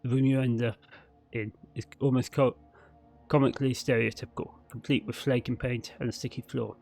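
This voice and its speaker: A man with a medium-pitched voice narrates a visual novel. He reads scripted prose in a dramatic storytelling manner, occasionally tripping on a word. Soft music plays faintly behind him. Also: little pitch movement, a little fast, vocal fry. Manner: dramatic storytelling with occasional stumbles over words. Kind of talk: scripted narration read aloud.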